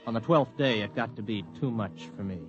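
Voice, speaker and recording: An actor playing a weary, blunt veteran flight officer, plain-spoken and terse, in 1950s radio drama style. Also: male voice, mid-range voice, fairly quick, highs cut off, music in the background, natural voice. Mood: narrating, weary. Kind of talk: 1950s radio drama acting with first-person narration.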